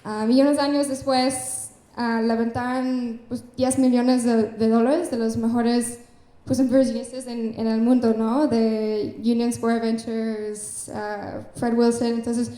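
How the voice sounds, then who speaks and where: A woman's voice: on the high side, little pitch movement, slow, mellow. A young Canadian woman from Canada, speaking Spanish with an English accent as a startup founder on an event stage, hesitant and full of fillers. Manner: hesitant, many fillers, laughs between phrases. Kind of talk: onstage interview. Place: event stage before an audience. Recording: echoey room.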